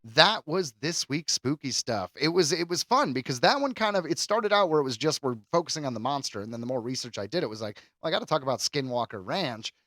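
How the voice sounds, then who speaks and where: A man's voice: fairly high pitch, expressive, fast, few pauses, a bit bright, no room sound, studio quality. A man co-hosting a podcast in casual chat, rambling and jokey as he floats speculative ideas. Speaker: podcast co-host.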